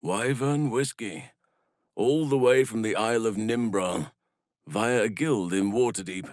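A male actor playing a grave, earnest elf druid in a video game, speaking solemnly in measured, formal phrases. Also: lowish voice, no room sound, clean studio sound, lightly acted. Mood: calm, measured. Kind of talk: scripted game voice lines.

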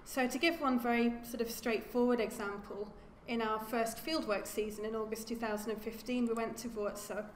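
A woman's voice: on the high side, steady tone, a little hiss or hum. Woman lecturing in an echoey hall. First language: English. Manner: measured, self-correcting with repeated words. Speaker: archaeologist giving a lecture. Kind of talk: academic lecture. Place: lecture room.

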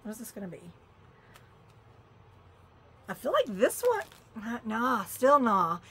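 A woman's voice: on the high side, wildly swooping pitch, takes it slowly, bright, forceful tone, slightly rough. A woman chatting casually through a shopping haul on camera, exclaiming and muttering as she opens things. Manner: chatty, exclaims and mutters while fiddling with items. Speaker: vlogger unboxing a shopping haul.

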